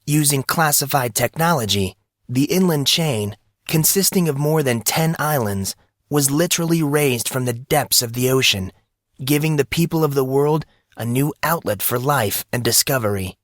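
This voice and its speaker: A man's voice: mid-range voice, expressive, normal speed, no echo, clean studio sound, voice pushed up, close to an everyday voice. A man voicing a smug, theatrical teenage boy news anchor, delivering grandiose oratory as a mock broadcast in an audio story.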